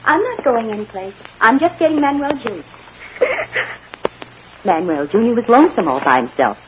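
A woman's voice: fairly high pitch, sing-song, normal speed. An actress playing a tender, distraught mother coaxing her child in an emotional old-time radio soap style. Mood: gentle, reassuring. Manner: emotional, coaxing, hushed endearments. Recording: muffled, noisy old radio drama recording.

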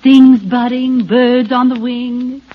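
A woman's voice: a little high, steady tone, slow. A woman playing a fussily polite saleswoman with fraying patience, in an old-time radio comedy. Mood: forced cheer. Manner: fussy, exaggerated politeness. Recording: dull vintage radio recording, audience, noise.

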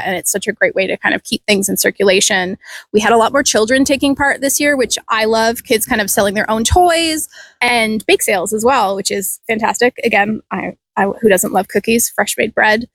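A Canadian-accented woman gives conversational interview answers. Her voice is on the high side and dryly recorded, and she is talkative and enthusiastic. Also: fairly bright tone, no echo. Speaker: community event organiser being interviewed.

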